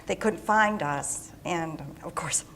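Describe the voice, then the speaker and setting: Halting, grieving mother. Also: on the low side, slight background noise. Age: middle-aged. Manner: halting, soft, searching for words. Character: grieving small-town mother of a soldier.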